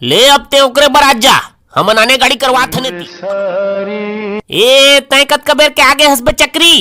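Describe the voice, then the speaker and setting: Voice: sits high, a little slow, pushed and bright. A performer with a Chhattisgarhi accent voicing a teasing, exclamatory young village wedding guest in a comic cartoon. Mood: bickering.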